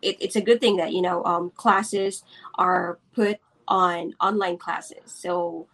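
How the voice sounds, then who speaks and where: A woman's voice: on the low side, steady tone, slow, fairly bright tone. Hesitant woman, business owner. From Philippines. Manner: hesitant, many fillers and restarts, laughs often. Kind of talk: casual interview.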